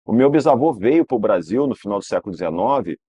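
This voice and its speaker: A man's voice: fairly low pitch, lively intonation, fairly quick, warm tone, husky, no room sound. A Brazilian man, a guest in an interview telling long family stories, rambling and restarting with frequent tag questions. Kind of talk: relaxed storytelling interview.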